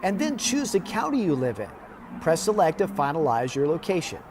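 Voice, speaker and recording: Male weather presenter, somewhat high voice, scripted tutorial, orderly step-by-step delivery, faint background noise. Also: wildly swooping pitch, brisk, darkish tone. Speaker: TV weather presenter giving a how-to demonstration. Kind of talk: scripted instructional narration.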